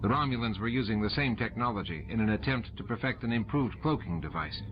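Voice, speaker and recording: A man reading an audiobook, voicing a practical male chief engineer with a brisk, technical delivery. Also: fairly low pitch, expressive, muffled recording, noisy background, lightly acted. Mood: explaining.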